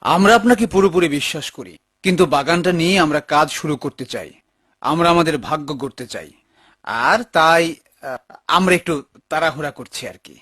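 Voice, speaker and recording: A man playing a pious, generous, patient garden partner in a cartoon, calm and gently admonishing. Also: on the high side, moderate pace, breathy edge, no echo, studio quality. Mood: earnest. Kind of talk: dubbed cartoon dialogue.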